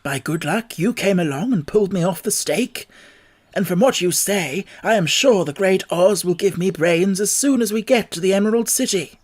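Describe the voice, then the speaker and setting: A man's voice: sits high, lively intonation, moderate pace. A man voicing an earnest, simple-hearted scarecrow made of straw, telling things in a storybook reading. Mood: hopeful.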